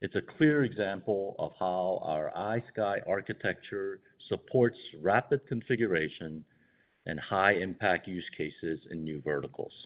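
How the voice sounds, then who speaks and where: Deep-voiced man reading formal corporate remarks. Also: unhurried. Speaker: company executive. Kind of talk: scripted earnings call remarks. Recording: dull, band-limited conference call audio.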